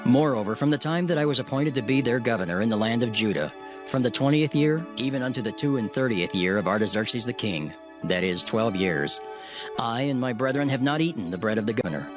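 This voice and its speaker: A man narrating a dramatized King James Bible reading in a solemn, measured way. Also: medium pitch, animated. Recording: narration over clear music bed.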